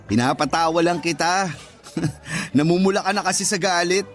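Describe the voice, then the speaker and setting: A man's voice: sits high, normal speed, a bit bright, faint music behind. A man playing a teasing, blunt young co-worker and best friend in a radio drama, joking and scolding as he talks. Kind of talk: Tagalog radio drama acting.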